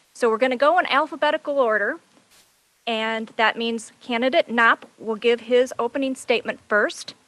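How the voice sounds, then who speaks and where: A woman moderator reads the forum rules in a clear, scripted way, her voice sitting a little high. The audio is dry and close on a video call. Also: a bit bright, dry recording. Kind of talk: reading event rules.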